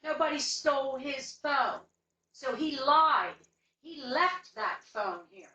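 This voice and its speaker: A woman's voice: high voice, expressive, slow, sharp tone, echoey room, recorded from a distance. An indignant, insistent woman recounting a complaint as a customer on a recorded phone call, speaking emphatically. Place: indoors in a room, away from the mic.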